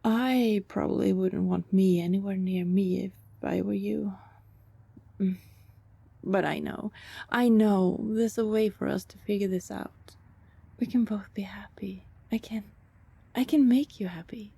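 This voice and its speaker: A woman's voice: medium pitch, fairly even intonation, dark tone, no echo. Woman acting a pleading vampire, close and dry. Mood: tender, hesitant. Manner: hesitant, halting, imploring, often dropping to breathy whispers. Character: lovelorn female vampire neighbor, pleading, persistent and anxious. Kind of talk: ASMR roleplay acting.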